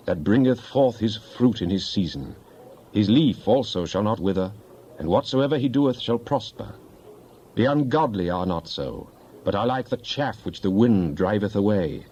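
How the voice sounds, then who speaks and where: A man recites psalms in a solemn, declamatory style. His voice is very dark and a bit low. It is a slightly muffled recording of a dramatic scripture reading. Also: animated, moderate pace, husky. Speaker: scripture reader. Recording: dull lo-fi, faint background noise.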